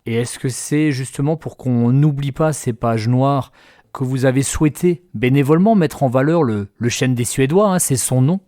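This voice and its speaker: A man hosts a local radio interview and keeps his questions lively and friendly. His voice sits in the middle range, and it is recorded dry and close in a studio. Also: medium pitch, normal speed, dry recording. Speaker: radio host.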